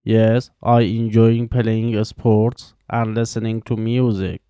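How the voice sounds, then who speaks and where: Careful, mellow man. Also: on the low side, level tone, clean tone, no echo, studio quality. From Iran. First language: Farsi. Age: 20s. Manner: slow, careful, evenly paced delivery with pauses between answers. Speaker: language teacher. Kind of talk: reading scripted practice answers.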